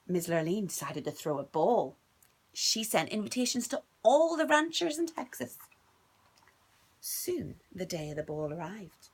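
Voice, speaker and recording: A woman's voice: on the low side, sing-song, measured pace, slightly rough. A woman reading a picture book aloud to her little son at home, playful and sing-song. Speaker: parent reading to a child.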